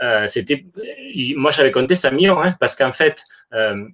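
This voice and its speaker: A man debating casually as a football supporter, hesitant and halting, often repeating himself. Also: medium pitch, lively intonation, fairly bright tone. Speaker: football supporter in a live online fan debate.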